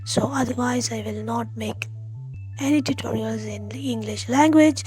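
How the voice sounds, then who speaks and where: A woman hosting a tech tutorial, explaining step by step in a halting, friendly way and speaking straight to her viewers. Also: fairly high pitch, a little mellow, faint music behind. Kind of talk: spoken tutorial walkthrough.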